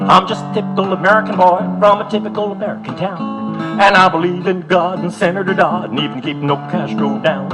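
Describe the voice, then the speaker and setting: A man's voice: high-pitched, fairly even intonation, a little fast, low-quality recording. A man performing as a folk singer, singing protest songs. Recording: acoustic guitar music throughout. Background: acoustic guitar.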